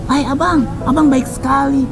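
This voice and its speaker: A squeaky, whiny little runaway child puppet, pitched very high. The kid sounds naive and upset in a children's puppet tale, with music behind. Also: male voice, fairly even intonation, moderate pace. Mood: complaining.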